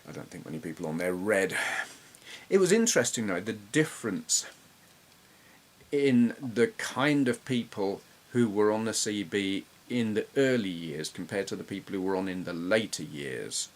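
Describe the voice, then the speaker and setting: A middle-aged man with an English accent giving casual, reflective, slightly halting commentary as he reminisces. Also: on the low side, animated. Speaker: retro gaming YouTuber.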